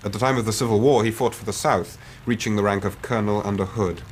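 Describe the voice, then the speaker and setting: Actor as low-voiced earnest young English gentleman. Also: fairly even intonation, slight background noise, natural voice. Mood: earnest, measured. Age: young adult. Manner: measured, formal, precise. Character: earnest, troubled young English gentleman client telling his history. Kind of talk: radio drama storytelling.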